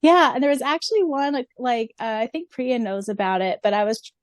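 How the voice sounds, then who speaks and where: A woman's voice: on the high side, brisk, no echo, studio quality. An enthusiastic, chatty woman co-hosting a fan podcast in a casual style. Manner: chatty, frequently laughing, enthusiastic. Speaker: podcast co-host.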